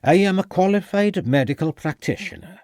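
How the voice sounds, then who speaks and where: A man's voice: sits high, normal speed, darkish tone, dry recording, studio quality, voice pushed up, close to an everyday voice. A man voicing a self-important elderly country doctor with a pronounced Welsh accent. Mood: pompous. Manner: pompous, assured.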